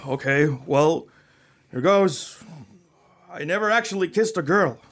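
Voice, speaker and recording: A man's voice: on the high side, animated, a little slow, fairly bright tone. A man from Norway playing a sensitive young rock band member in an amateur radio play, earnest and confessional. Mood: vulnerable, confessing.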